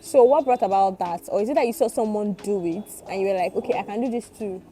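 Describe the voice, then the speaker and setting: A woman's voice: mid-range voice, mellow, a little hiss or hum. A woman hosting a casual interview, teasing and affirming her guest. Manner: teasing, quick to laugh, affirming. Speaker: podcast host.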